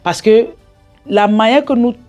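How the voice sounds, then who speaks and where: A woman's voice: on the high side, unhurried, darkish tone, soft background music. A woman with an African French accent giving advice straight to viewers in an emphatic, instructive way, often asking rhetorical questions and checking in. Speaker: business coach and video host. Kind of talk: direct-to-audience advice vlog.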